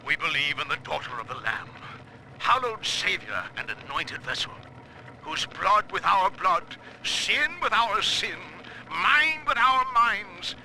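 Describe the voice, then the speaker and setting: An actor playing a zealous cult preacher, delivering a grandiose, incantatory sermon for a video game. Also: male voice, high voice, vocal fry, sharp tone, slight background noise, full character voice, poor audio. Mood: fervent prayer. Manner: incantatory, grandiose, rising to cries. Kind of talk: theatrical sermon.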